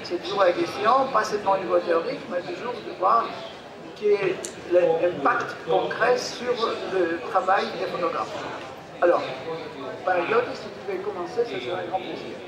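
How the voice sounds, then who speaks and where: A man moderating a public panel, introducing the discussion in hesitant, measured phrases. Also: high voice. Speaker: debate moderator. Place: busy book fair stand. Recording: echoey room with crowd noise.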